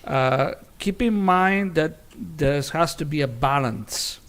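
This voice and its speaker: Halting male pianist judge, slightly high. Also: expressive, a bit bright. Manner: halting, frequent restarts and ums. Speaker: pianist on a jury panel. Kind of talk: spoken critique.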